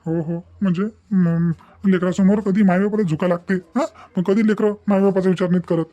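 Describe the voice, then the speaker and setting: A man acts out a wounded, sentimental father in middle age from a cartoon family story. He grumbles plaintively in a mellow, fast-moving voice with a Vidarbha Marathi accent. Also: high-pitched, fairly even intonation, lo-fi sound, close to an everyday voice. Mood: hurt. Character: hurt, sentimental middle-aged father missing his daughter. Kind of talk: cartoon family drama dialogue.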